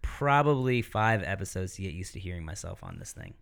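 A man in his thirties hosting a podcast, chatting casually and telling stories in a deeper voice. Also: big pitch swings, brisk, creaky, fairly bright tone. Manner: chatty storyteller. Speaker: podcast host. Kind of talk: casual chat.

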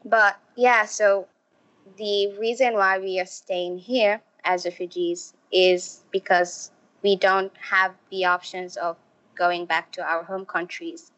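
A young woman from Somalia, a refugee youth program organizer, answering interview questions slowly with many fillers. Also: medium pitch, flat delivery, fairly bright tone. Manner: slow, halting, many fillers. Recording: muffled lo-fi audio.

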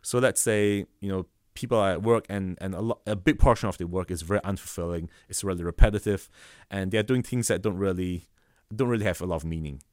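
Low-voiced guy, slight malaysian accent, thinks out loud, earnest, casual podcast chat. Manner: earnest, halting, thinks aloud. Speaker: guest, robotics business founder.

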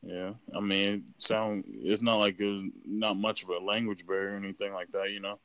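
A man's voice: lowish voice, flat delivery, normal speed, fairly bright tone, dry recording. A man in casual chat as a podcast guest, rambling with many fillers and restarts. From United States.

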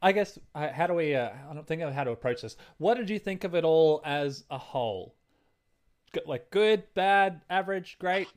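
A man's voice: fairly high pitch, lively intonation, normal speed. A man chatting casually as a podcast co-host, in a hesitant, rambling way. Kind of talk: casual chat.

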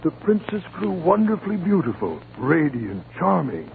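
A man's voice: a little high, huge pitch swings, normal speed, vocal fry, heavily acted. A man narrating a scripted story in 1950 radio style, with a storyteller's dramatic delivery. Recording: tinny, noisy 1950 radio broadcast.

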